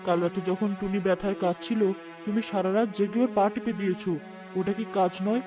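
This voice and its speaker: A woman's voice: mid-range voice, fairly even intonation, a little fast, music in the background, pitched below the performer's own voice, natural voice. A woman playing a stern but caring, hard-working poor village elder sister in a cartoon, firm and practical. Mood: firm, protective. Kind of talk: cartoon fairy-tale dialogue.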